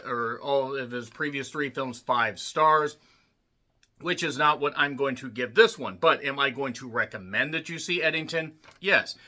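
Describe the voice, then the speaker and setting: Gruff, sharp-voiced man ranting. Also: medium pitch. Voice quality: slightly rough, worn voice. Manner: blunt, profane ranting with frequent throat clearing. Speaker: film reviewer. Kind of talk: casual spoken movie review.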